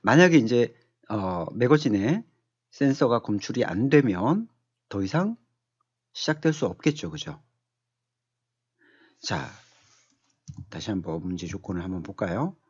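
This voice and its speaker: A man giving an unscripted software tutorial as an instructor, explaining step by step and asking rhetorical questions. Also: lowish voice, sing-song, a little slow, long pauses, breathy edge, no room sound, lo-fi sound. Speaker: automation instructor. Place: dry-sounding voice-over on a screen recording.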